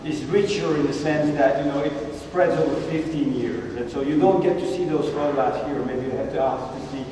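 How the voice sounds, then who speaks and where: A man in his fifties from Belgium, a photographer giving a casual gallery talk with halting restarts and self-corrections. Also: a little high, warm tone. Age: 50s. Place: gallery hall. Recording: echoey hall from far off, noisy.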